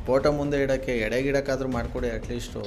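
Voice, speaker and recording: A man's voice: mid-range voice, flat delivery, very fast, over loud music. A man doing a prank phone call in casual, colloquial talk, insistent and pleading. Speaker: radio host making prank calls.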